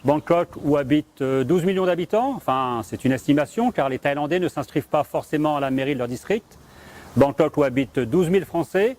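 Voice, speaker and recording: A man's voice: a little high, expressive, a little fast, darkish tone. A man narrating a scripted report introduction with a wry, rhetorical delivery.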